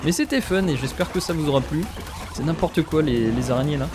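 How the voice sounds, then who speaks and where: Young French male gaming host, higher voice, excitable live commentary over loud game noise. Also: brisk. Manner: excitable, exclaiming, talks straight to viewers. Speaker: gaming YouTuber. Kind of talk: let's play commentary.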